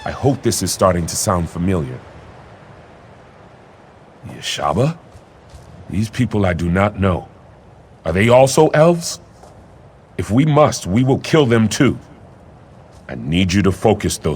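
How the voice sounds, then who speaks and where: An actor playing an eager, loyal young warrior prince in a video game, speaking in formal, earnest phrases. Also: male voice, low-pitched, big pitch swings, a little slow, pauses often, darkish tone, slight background noise. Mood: fierce, resolute. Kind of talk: video game character acting.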